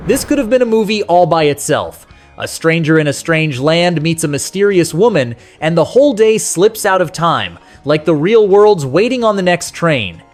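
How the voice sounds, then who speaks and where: A man's voice: a little high, sing-song, moderate pace. A man narrating a scripted music countdown as its host, in a nostalgic, colloquial storytelling manner. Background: soft rock music behind the voice.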